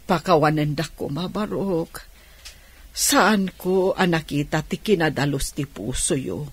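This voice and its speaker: An actress playing an ailing older auntie, humbled and grateful, in an Ilocano radio drama, with a husky voice. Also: female voice, deep voice, a little slow, exaggerated voice. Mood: remorseful, humbled.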